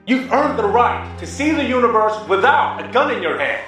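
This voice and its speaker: A charismatic young robot commander acted by a man speaks in a high, declamatory voice. He is earnest and persuasive, like a born leader in an animated comic, over a soft music bed. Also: normal speed, heavily acted, poor audio. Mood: impassioned. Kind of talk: dramatic oratory in a motion comic.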